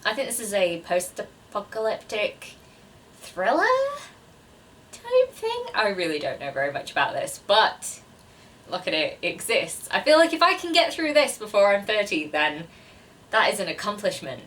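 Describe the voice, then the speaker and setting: Bright-voiced young woman chatting, slightly distant mic. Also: mid-range voice, sing-song, moderate pace, slight background noise. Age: 20s. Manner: self-deprecating, chatty. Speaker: book vlogger. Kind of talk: casual chat to camera.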